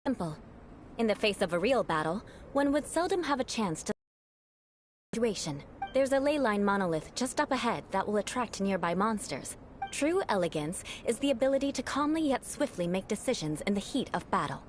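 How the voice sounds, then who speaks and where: A woman's voice: medium pitch, big pitch swings, a little fast, slight background noise, close to an everyday voice. A young woman voicing a haughty, refined aristocratic knight in an anime-style game, with a formal, instructive manner. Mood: cool, composed.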